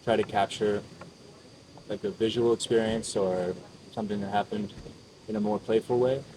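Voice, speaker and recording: Soft-spoken American backpacker outdoors. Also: male voice, lowish voice, steady tone, moderate pace. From United States. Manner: soft-spoken, friendly, helpful. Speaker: backpacker guest. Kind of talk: casual outdoor conversation. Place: outdoors in a mountain village. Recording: background ambience noise.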